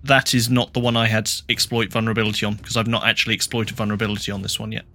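A man's voice: fairly low pitch, level tone, rapid-fire, sharp tone. A man playing a tabletop game, talking casually through the rules. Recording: music playing under the voice.

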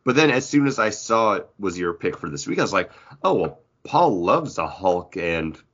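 A man's voice: deep voice, big pitch swings, normal speed, a bit bright, breathy edge, highs cut off. A man co-hosting a podcast, talking in a casual, conversational style. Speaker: podcast co-host. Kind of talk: casual comic book chat.

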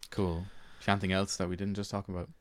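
A man's voice: low voice, little pitch movement, quick pace, no room sound, studio quality. A man with an Irish accent hosting a film discussion in a casual, conversational, hedging way. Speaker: podcast host.

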